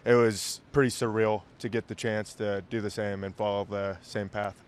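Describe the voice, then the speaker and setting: A man's voice: lowish voice, flat delivery, moderate pace, clear, resonant tone. A young man from Nebraska, a college football player, giving short, plain interview answers. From Lincoln, Nebraska. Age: young adult.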